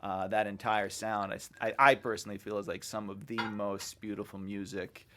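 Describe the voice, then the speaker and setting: A man hosting a casual music interview, rambling warmly with lots of fillers. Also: fairly low pitch, flat delivery, moderate pace, noisy background. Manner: rambling and enthusiastic, many fillers. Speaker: interviewer and sound engineer.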